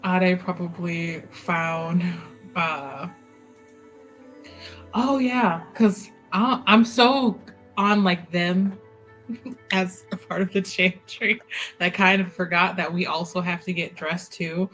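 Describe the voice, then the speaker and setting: A woman's voice: lowish voice, slow, a bit bright, faint music behind, low-quality recording. A woman playing a tabletop roleplaying game, talking hesitantly with many fillers. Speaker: player. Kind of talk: casual tabletop roleplay chat.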